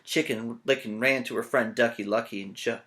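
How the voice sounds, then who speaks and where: A man's voice: mid-range voice, steady tone, normal speed, fairly bright tone. A man with a teacher's manner reading a children's story aloud, playful and often asking listeners questions.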